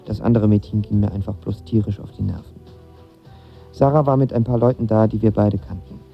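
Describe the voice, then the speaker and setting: A man's voice: lowish voice, creaky. An actor playing a lovestruck, nervous young man who tells his story in casual, slangy radio drama narration. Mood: wry, reflective. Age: young adult. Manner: casual, slangy, self-deprecating. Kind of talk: first-person radio drama narration with dialogue. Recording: slightly dull audio with music behind.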